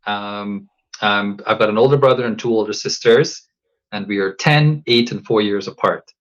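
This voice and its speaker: A man from Guyana, a coach and father, talking reflectively in a podcast interview, measured and thoughtful with many fillers and restarts. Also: on the low side, muffled recording.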